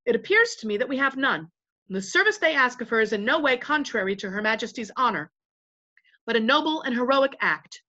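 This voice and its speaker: A woman voicing a gallant little male creature, fearless and formal, with courtly, measured phrasing in a storybook. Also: a little high, big pitch swings, pushed and bright, dull audio, voice pushed up, heavily acted. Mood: solemn, gallant.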